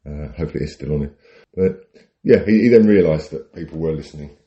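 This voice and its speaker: Very deep-voiced British man, wry storyteller. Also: quick pace, dark tone, highs cut off. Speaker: podcast co-host. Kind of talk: casual chat, telling anecdotes.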